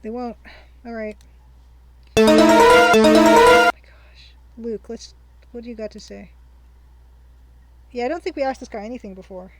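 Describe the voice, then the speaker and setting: A young woman hosting a game playthrough, her voice sitting a little high as she chats casually. She thinks aloud and reads her notes back slowly. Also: fairly even intonation, loud music behind the voice, rough recording. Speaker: let's-play host. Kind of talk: casual let's-play commentary.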